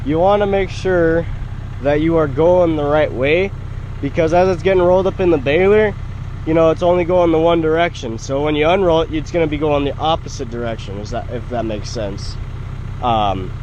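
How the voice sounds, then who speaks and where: A man's voice: a little high, normal speed, dull audio. A young man from Montana who is sick, chatting casually to viewers while working on his ranch. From Montana, USA. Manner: chatty and explanatory, addresses viewers directly. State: sick, stuffy voice. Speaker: ranch vlogger. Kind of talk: casual vlog narration. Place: tractor cab and snowy pasture outdoors. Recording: loud engine noise behind.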